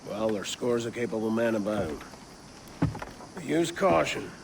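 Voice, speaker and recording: An actor playing a gruff, mocking veteran pirate with a gravelly voice and theatrical swagger in a video game. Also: male voice, fairly low pitch, lively intonation, unhurried, background noise. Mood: cautioning. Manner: taunting, theatrical. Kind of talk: video game cutscene acting.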